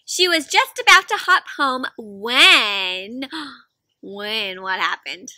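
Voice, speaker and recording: Casting: young woman, children's read-aloud host, high-pitched and animated, inviting little listeners in with questions. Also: takes it slowly, dry recording. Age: young adult. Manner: expressive, asks frequent questions, gasps. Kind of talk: interactive picture-book read-aloud for children. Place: outdoors in a fenced backyard.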